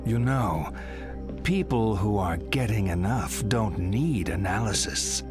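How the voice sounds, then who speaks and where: Slow, animated, goading psychiatrist. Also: male voice, fairly low pitch. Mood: brooding, seductive. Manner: theatrical, pointed, deliberate delivery with rhetorical questions. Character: provocative, probing male psychiatrist, goading and impatient. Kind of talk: dramatic video game acting. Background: music.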